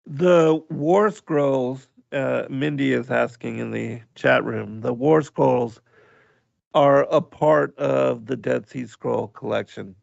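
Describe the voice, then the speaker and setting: A man hosts a radio livestream with a hoarse voice that keeps giving out, and a deep fry runs through everything he says. He talks very slowly, pausing often and filling the gaps with ums. The sound is dry and close but dull, as if streamed at low quality. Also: dry recording. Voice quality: gravelly. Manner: slow, halting, with many pauses and fillers. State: hoarse, voice going out. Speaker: radio show host. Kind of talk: livestream radio talk, reading chat.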